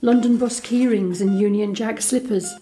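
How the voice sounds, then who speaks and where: A woman's voice: fairly high pitch, steady tone, moderate pace, dark tone, a little hiss or hum, natural voice. An actress playing a guarded, tender young woman, telling her story conversationally in a first-person audio drama monologue. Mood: tender, wistful. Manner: confiding, conversational storytelling that re-enacts dialogue. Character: Alex, young woman, guarded, frank, tender daughter confiding a secret.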